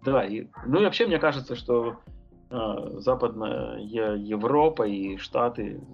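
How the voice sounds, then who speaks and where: A man's voice: mid-range voice, expressive, unhurried, gravelly, muffled recording, faint music behind. A man talking as a podcast guest, thinking aloud about his tattoo and painting work, rambling with many fillers and hesitations. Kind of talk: casual podcast conversation.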